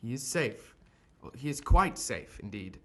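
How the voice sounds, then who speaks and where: A man acting a shaken, remorseful gentleman doctor in an audio drama, speaking formally and earnestly. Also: mid-range voice, very animated, measured pace, exaggerated voice. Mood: agitated, insistent.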